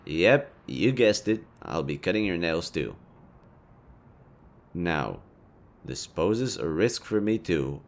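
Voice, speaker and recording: A man's voice: low voice, slight background noise. A man voicing a smug, teasing but caring supervillain in an intimate audio roleplay, talking conversationally and straight to the listener. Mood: playful, fond. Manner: teasing, conversational, swinging from playful to earnest. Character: smug, teasing yet caring male supervillain, city-wide rival.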